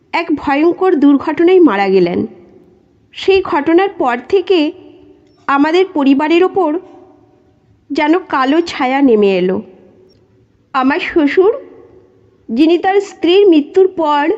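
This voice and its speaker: Woman narrator, slow measured pace, very varied intonation, first-person dramatic storytelling, muffled lo-fi audio. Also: high-pitched, darkish tone. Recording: dull lo-fi sound.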